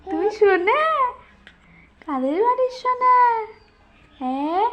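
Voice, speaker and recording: A woman's voice: extremely high pitch, very animated, takes it slowly, fairly bright tone, muffled recording. A woman talking playfully to a baby in cooing, sing-song baby talk, teasing and repeating short questions. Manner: teasing, mock scolding. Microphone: phone held while talking.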